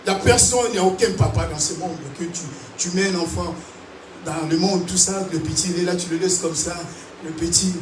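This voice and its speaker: High-voiced preacher in an echoing church hall. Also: male voice, brisk. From Africa. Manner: animated preaching with call-and-response. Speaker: pastor. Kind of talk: sermon. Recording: echoey hall, distant mic, noisy.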